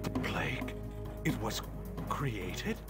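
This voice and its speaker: A man's voice: on the low side, big pitch swings, slow. An actor playing a determined male hero in a fantasy video game, earnest and dramatic in delivery. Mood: resolute. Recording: loud music behind the voice.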